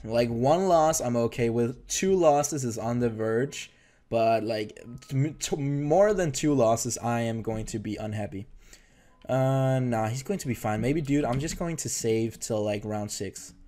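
A young man from Denmark, not a native English speaker, chatting excitedly through casual gameplay commentary. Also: mid-range voice, measured pace. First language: Danish. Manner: excitable, chatty, trails off and restarts. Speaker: gaming YouTuber.

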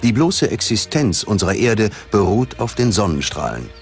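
A man's voice: on the low side, very animated, moderate pace, over music. A man narrating a documentary from a script in a dramatic style.

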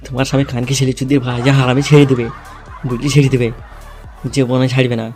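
A man plays a vengeful cartoon thug who plots and swears. His talk is crude and full of menace, in a middle-pitched voice. Music plays behind him. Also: level tone, quick pace. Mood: threatening. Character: foul-mouthed vengeful male gang schemer in comedy cartoon. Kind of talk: comedy cartoon character dialogue.

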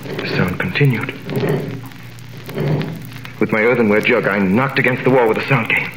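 An actor narrating and playing a young wrongly imprisoned man, in 1930s radio drama style, earnest and measured. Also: fairly low pitch, fairly bright tone, a little hiss or hum, lightly acted. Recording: roomy 1930s radio recording with music.

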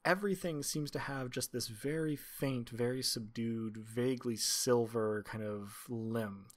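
Male game master, mid-range pitch. Improvised tabletop narration, descriptive and literate. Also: a little slow. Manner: descriptive, wordy, literate phrasing.

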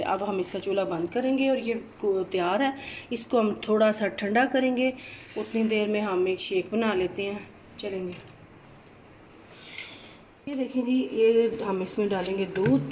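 A woman's voice: mid-range voice, darkish tone, slight background noise, poor audio. A woman hosting a cooking channel, chatting viewers through a recipe step by step and often asking them to look. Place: home kitchen, indoors. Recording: home kitchen with utensil clatter. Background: clattering dishes and utensils.

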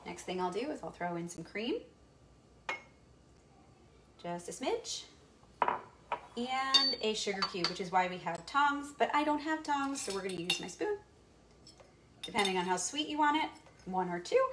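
A woman's voice: mid-range voice, background noise. A young woman teaching history while she cooks in her home kitchen, chatty and explaining each step. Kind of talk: cooking demonstration with history lesson.

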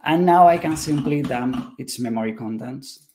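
A man's voice: mid-range voice, moderate pace, background noise. Hesitant man giving a technical talk. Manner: hesitant, many fillers and restarts. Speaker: conference presenter. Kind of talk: technical talk with live demo.

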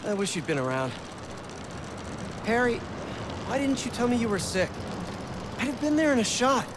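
A man's voice: extremely high pitch, big pitch swings, moderate pace. An actor playing an earnest, caring young man catching up with an old friend in a video game scene. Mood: concerned. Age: young adult. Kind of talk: video game cutscene acting. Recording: game audio with clear background noise.

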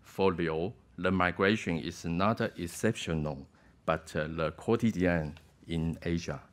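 Slow, low-voiced man reading a paper. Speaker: conference panelist. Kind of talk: reading a prepared academic paper. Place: theater stage at a lectern. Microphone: lectern microphone.

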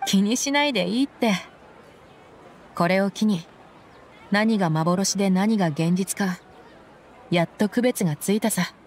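An actress playing a tough, loyal young desert mercenary woman in a game, with rough tomboyish speech and a wistful streak. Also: medium pitch, a little slow, long pauses, a little hiss or hum, lightly acted. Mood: consoling, reflective. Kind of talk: dramatic anime-style game dialogue. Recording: music behind the voice. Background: background game music.

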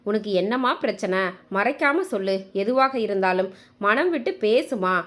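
A woman's voice: medium pitch, lively intonation, normal speed, a bit bright, natural voice. A woman voicing a teasing but devoted young adult son, earnest and coaxing, in a narrated family story. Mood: concerned.

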